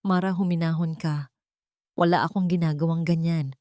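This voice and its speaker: A woman voicing a calm, puzzled male lawyer speaking on the phone. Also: deep voice, no room sound, studio quality, close to an everyday voice. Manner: calming, measured.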